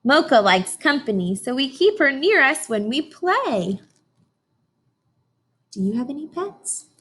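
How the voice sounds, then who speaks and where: A woman teacher reading a picture book aloud to young children, expressive, often pausing to question her listeners directly. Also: high voice, husky. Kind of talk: informational read-aloud lesson for young children.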